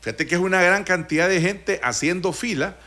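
A man's voice: sits high, a little fast, bright, forceful tone. A man from Honduras with a Honduran Spanish accent, hosting a casual radio talk show and telling stories with many restarts and fillers. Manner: rambling, storytelling with frequent restarts and fillers.

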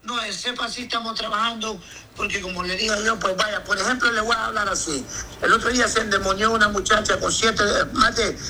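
High, bright-voiced pastor. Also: male voice, fairly even intonation, brisk, slight background noise, low-quality recording. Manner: emphatic, repeats "me entiende". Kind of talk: interview answers.

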